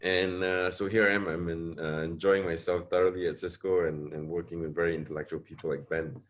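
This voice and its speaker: A man's voice: deep voice, little pitch movement, fairly quick. A middle-aged man from Singapore, a panelist speaking on a webinar video call, answering at length with frequent hesitations and repeated words. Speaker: panelist, security advisor.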